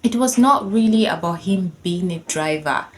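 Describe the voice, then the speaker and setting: A woman's voice: fairly low pitch, animated, normal speed. A woman in her thirties with a Nigerian accent, a Yoruba speaker, telling a personal story in a chatty, casual way with lots of "like" and bursts of laughter. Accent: Nigerian English. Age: 30s. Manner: chatty and conversational, lots of "like", laughing while she tells it.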